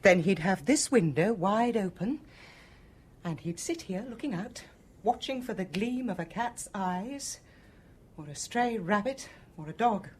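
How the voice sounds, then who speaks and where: An actress with a British accent playing a bitter, fatalistic wife in a radio drama, guarded and weary. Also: female voice, medium pitch, lively intonation, a little slow, darkish tone, a little hiss or hum, natural voice. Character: brittle, bitter, fatalistic wife of a cruel husband.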